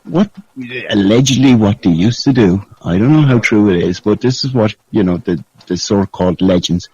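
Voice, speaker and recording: Male, low voice, casual sweary storyteller with a conspiratorial bent, dry and muffled call sound. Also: normal speed, darkish tone, husky, no room sound. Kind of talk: casual chat. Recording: dull lo-fi call audio.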